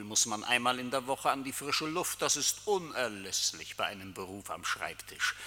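Sinister, sharp-voiced mocking tempter, cavernous reverb. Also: male voice, mid-range voice. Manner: taunting, insinuating, declamatory. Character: sinister mocking accuser, a tempter who knows all secrets. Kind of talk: theatrical German radio play acting. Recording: long hall-like reverb.

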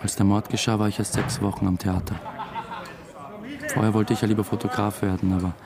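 A man's voice: lowish voice, little pitch movement, a little fast, creaky, a crowd in the background. An actor playing a diffident young theatre dramaturg who narrates his own story in a radio drama, dry and understated. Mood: wry, matter-of-fact.

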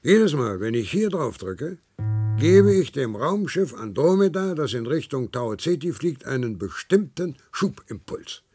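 An actor playing a dutiful, stubborn 95-year-old worker in a radio play, husky and gravelly, prone to stammering. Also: male voice, on the high side, big pitch swings, unhurried, warm tone. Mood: earnest, assured. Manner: stammers and repeats words when agitated.

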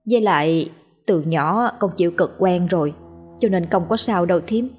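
A woman with a Southern Vietnamese accent voicing a poor, long-suffering young mother who speaks softly, as if holding back tears. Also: on the low side, normal speed, slightly rough, soft background music. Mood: tearful, tender. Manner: soft, choked, tender.

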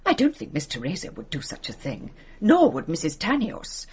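Breathy, fluttery English lady companion. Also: on the low side, huge pitch swings, moderate pace, full character voice. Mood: flustered, confiding. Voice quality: breathy, husky. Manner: fluttery, apologetic, hesitant, gossipy. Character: fussy, flustered, genteel English lady companion. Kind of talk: British radio drama acting.

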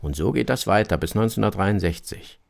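A man presenting a scripted radio introduction in a measured, explanatory way. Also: on the low side, animated, creaky.

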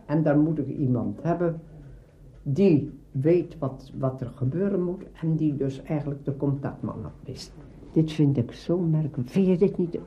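Male radio veteran, eighties, Netherlands Dutch accent. Higher-pitched, juicy storyteller on a dull, faintly noisy recording. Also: normal speed. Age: 80s. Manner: juicy, theatrical storytelling with acted-out dialogue. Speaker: veteran radio drama actor being interviewed. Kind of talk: conversational anecdote telling.

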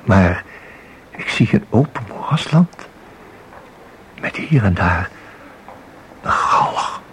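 An actor in a radio play playing a nervous, hesitant museum visitor, halting and stammering as he repeats himself. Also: lowish voice, lively intonation, a bit bright, a little hiss or hum. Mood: hesitant, uneasy.

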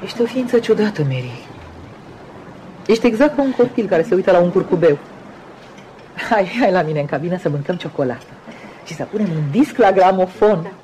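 A woman's voice: lowish voice, expressive, pauses often, dark tone, a little hiss or hum, close to an everyday voice, poor audio. An actress playing a chatty, nosy, bored society lady in a radio drama, with a theatrical, insistent manner. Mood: languid, bored. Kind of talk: Romanian radio theatre acting.

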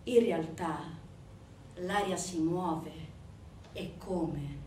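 A woman performing a dramatic scripted theatre narration, telling the story emphatically with deliberate pauses and repetitions. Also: fairly low pitch, mellow. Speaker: stage actress performing a theatre monologue. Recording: echoey room from a distance, faint noise.

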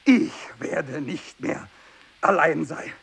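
An actor playing a fanatical, tormented renegade priest in a radio drama monologue, declaiming in anguish. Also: male voice, fairly high pitch, slow pace, creaky, fairly bright tone, husky, exaggerated voice. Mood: fanatical exultation. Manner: declamatory, anguished, swinging between cries and whispers. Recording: faint tape hiss, 1960s radio production.

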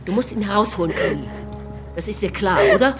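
A woman's voice: medium pitch, lively intonation, fast, music in the background, close to an everyday voice. An actress playing an exasperated, demanding woman in a radio drama, insistent and reproachful. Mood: reproachful, urgent.

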